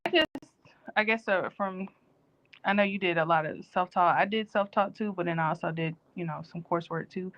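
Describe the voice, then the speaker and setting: A woman co-hosting a casual live-stream chat, telling stories in a lively, animated way. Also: lowish voice, brisk, highs cut off.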